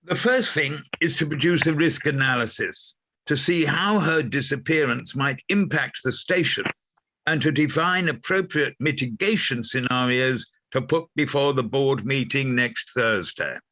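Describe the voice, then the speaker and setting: A man's voice: medium pitch, measured pace, bright, forceful tone, slight background noise. A man playing a self-important, risk-averse station manager in a radio comedy-drama, talking in emphatic corporate jargon. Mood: pompous, emphatic. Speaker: actor in a radio play.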